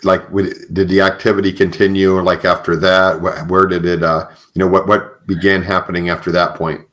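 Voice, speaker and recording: A man with a low-pitched voice hosts a podcast interview. His delivery is casual and informal, in the style of an everyday conversation. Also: flat delivery, normal speed, a bit bright. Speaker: podcast host.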